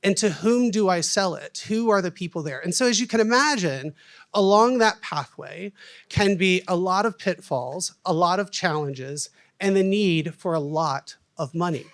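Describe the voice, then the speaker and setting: Folksy Southern American man, high voice. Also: animated, moderate pace, fairly bright tone. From United States. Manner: folksy and self-deprecating, lots of fillers. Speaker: panel moderator. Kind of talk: conference panel introduction.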